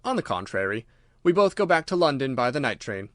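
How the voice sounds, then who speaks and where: A man voicing a confident, brisk Victorian gentleman detective in an audiobook, courteous, assured and playfully secretive. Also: mid-range voice, big pitch swings, no room sound, studio quality. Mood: sly, decisive. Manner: courteous, assured, formally worded.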